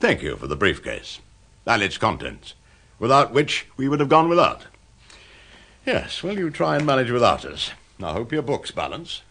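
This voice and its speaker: An English actor playing a cocky, sardonic older office clerk turned crook in a radio drama, with a bossy, bantering way of talking. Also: male voice, mid-range voice, wildly swooping pitch, moderate pace, fairly bright tone, husky, full character voice. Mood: irritable, bossy. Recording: noisy radio drama recording with background ambience.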